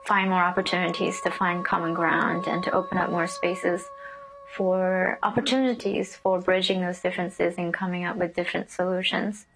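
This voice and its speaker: A woman speaks earnestly and reflectively, her pitch staying flat and level throughout. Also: moderate pace, a little hiss or hum, rough recording. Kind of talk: interview.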